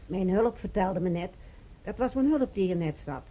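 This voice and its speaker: A woman's voice: medium pitch, expressive, moderate pace, warm tone, muffled recording, lightly acted. An actress playing a gossipy, disapproving woman patient in a radio play, opinionated and matter-of-fact in her delivery. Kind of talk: naturalistic radio drama dialogue.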